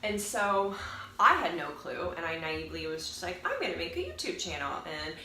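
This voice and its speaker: A woman's voice: lowish voice, big pitch swings, moderate pace, sharp tone. A young woman with a nasal, congested voice from a cold chats casually to camera as a fitness vlogger, rambling and correcting herself. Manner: chatty, rambling, self-correcting. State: getting over a cold. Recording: roomy echo, faint noise.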